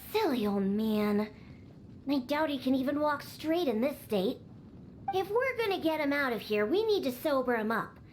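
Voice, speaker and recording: A woman's voice: high voice, a little hiss or hum. A woman voicing a bossy, exasperated young cat-girl daughter in a video game, scolding and matter-of-fact. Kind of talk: video game character acting. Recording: faint game music behind the voice. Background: game music.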